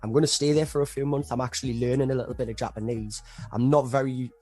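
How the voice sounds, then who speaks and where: A man's voice: mid-range voice, fairly even intonation, fast, warm tone, breathy edge, soft background music. A young English man with an English accent, a rapper guest on a podcast, talking earnestly and reflectively and saying "me" for "my". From England. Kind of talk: casual interview chat.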